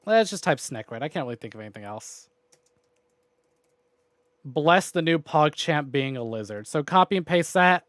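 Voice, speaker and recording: A man with a fairly high-pitched voice talks casually to his livestream viewers. His delivery is rambling and informal, with loose phrasing and the odd mild swear. The sound is dry, with no room echo. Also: lively intonation, normal speed, pauses often, a bit bright. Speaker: video game livestreamer. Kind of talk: casual chatty stream sign-off to viewers.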